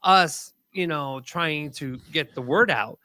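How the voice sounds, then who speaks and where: Bright-voiced earnest man in chat. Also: a little high, slow pace, dry recording. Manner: earnest, hesitant, many fillers. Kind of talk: casual chat.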